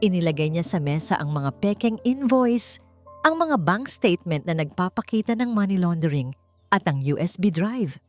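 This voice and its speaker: A woman with a low-pitched voice narrates a scripted drama. She reads as a storyteller, and faint music plays behind her. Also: expressive, normal speed, darkish tone.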